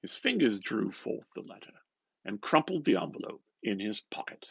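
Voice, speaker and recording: A man gives an expressive, theatrical reading of a literary novel, moving between murmured asides and louder phrases. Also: fairly low pitch, normal speed, vocal fry, breathy edge, no room sound, muffled recording. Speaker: reader of a novel.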